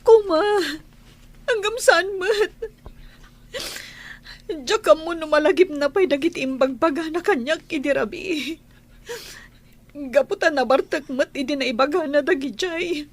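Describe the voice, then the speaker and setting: Hurt young wife for radio drama: high-pitched, pleading, full of questions. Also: female voice, measured pace, pauses often. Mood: tearful, worried. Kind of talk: Ilocano radio drama acting.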